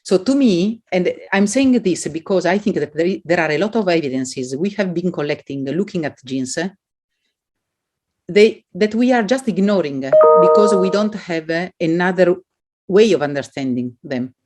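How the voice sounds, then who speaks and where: Italian accent woman prof, lowish voice, explaining stuff at a talk, kinda halting and expressive. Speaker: academic guest speaker. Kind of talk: academic talk answering audience questions.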